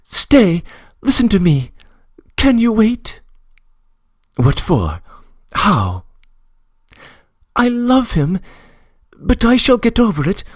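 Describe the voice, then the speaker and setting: A man's voice: sits high, wildly swooping pitch, takes it slowly, long pauses, husky, no echo, heavily acted. A man voicing a passionate young woman who pleads earnestly, close to tears, in an audiobook reading. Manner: trembling, earnest, breathless confession. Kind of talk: audiobook reading of a classic novel.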